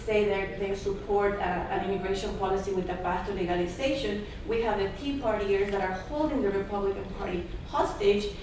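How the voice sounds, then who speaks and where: Earnest woman arguing a point in a hall. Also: mid-range voice. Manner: earnest, argumentative, builds points in steps. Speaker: panelist on immigration and media. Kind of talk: conference panel talk. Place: large conference hall. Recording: echoey hall recording from far off, background noise.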